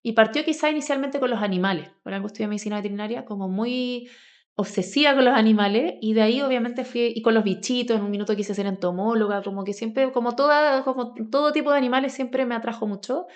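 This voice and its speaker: Chilean woman with a higher-pitched voice. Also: fast. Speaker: podcast guest, grounding advocate. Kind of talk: conversational personal storytelling.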